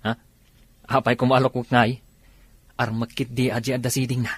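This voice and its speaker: A man's voice: medium pitch, flat delivery, fairly quick, dry recording, close to an everyday voice. A man playing a nosy, flirtatious male cousin in an Ilocano radio drama, with an Ilocano accent. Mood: teasing, curious.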